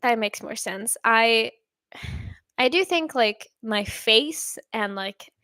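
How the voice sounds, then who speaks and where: A woman's voice: animated, slow pace, no echo, studio quality. Chatty young woman on a live stream. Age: young adult. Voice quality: somewhat deep voice. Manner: chatty, rambling, frequent fillers and soft laughs. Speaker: reaction channel host. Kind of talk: live stream chat reading comments.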